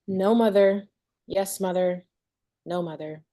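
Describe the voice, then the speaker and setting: A woman's voice: medium pitch, slow pace, mellow, natural voice. A woman playing a weary, anxious, stubborn teenage girl in a table read of a horror script. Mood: obedient, flat.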